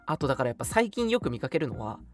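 A man's voice: medium pitch, rapid-fire. A young adult man co-hosting a radio show in casual chat, an agreeable listener who often chimes in. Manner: animated, agreeable listener with repeated backchannels. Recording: background music behind the talk.

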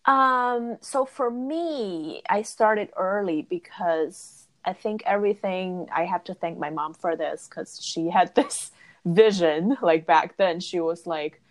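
A woman in her thirties from China, a guest English teacher, talking in an unhurried, thoughtful way with frequent fillers. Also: lowish voice. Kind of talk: casual interview chat.

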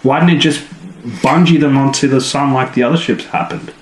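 Male podcast co-host, mid pitch, playful and casual; echoey room tone. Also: steady tone, brisk. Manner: playful, mimics characters with sudden jumps in pitch. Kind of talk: casual chat reviewing an episode. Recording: echoey room, faint background noise.

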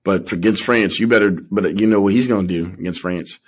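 A man's voice: deep voice, quick pace. A man co-hosting a podcast, chatting casually about sports in slangy, informal speech and repeating points for emphasis. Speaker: podcast co-host.

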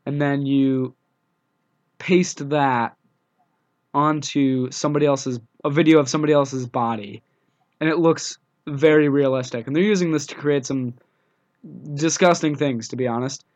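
A young man with a scratchy voice from a cold, co-hosting a casual podcast over the phone with dry, deadpan jokes. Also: mid-range voice, moderate pace. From United States. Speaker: podcast co-host. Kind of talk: casual chat. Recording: dull phone-line sound.

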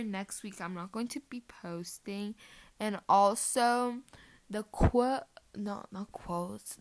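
A woman's voice: mid-range voice, clear, resonant tone. A teenage girl whose first language is Portuguese, hosting her podcast with rambling, giggly casual chat full of fillers. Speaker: podcast host.

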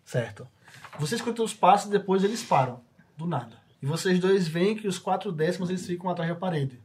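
A man's voice: high-pitched, lively intonation, brisk. A Brazilian man narrating a tabletop role-playing game as game master, recorded at an in-person session. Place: indoors around a table with other players. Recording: clearly noisy in-person group session.

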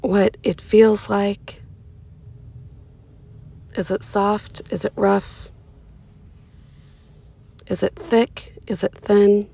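A woman leading a guided meditation in a soothing, unhurried way, with pauses between short phrases and a soft voice that turns breathy at times. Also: mid-range voice, little pitch movement.

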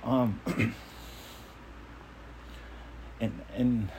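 A man's voice: medium pitch, drawn-out words, darkish tone, slight background noise, rough recording. A man talking on his own podcast in a casual monologue, earnest, self-assured and emphatic. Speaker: podcast host.

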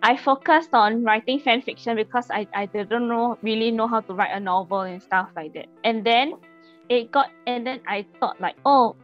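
A woman in her late twenties with a fairly high voice, talking casually and a little hesitantly. She plays herself down as she goes, and music sits behind her. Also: moderate pace. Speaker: podcast guest, amateur fiction writer. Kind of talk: casual chat. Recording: dull lo-fi audio with music.

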